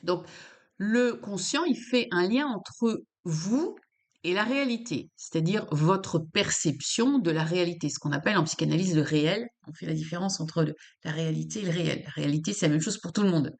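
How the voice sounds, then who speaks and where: A woman with a fairly low-pitched voice explains psychology in an informal talk to camera. She speaks hesitantly, with many fillers, repeats words, and restarts her sentences. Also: normal speed. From France.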